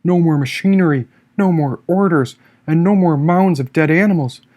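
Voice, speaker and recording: A man reading a first-person story as an audiobook narrator, expressive and theatrical in his delivery. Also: on the high side, normal speed. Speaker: author reading own audiobook.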